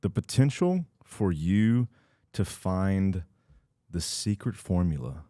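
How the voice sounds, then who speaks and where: Low, mellow-voiced man hosting a podcast. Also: big pitch swings, takes it slowly, dry recording, studio quality. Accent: American. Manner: playful, teasing, then explains in long stretches. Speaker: podcast host. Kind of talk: casual podcast chat.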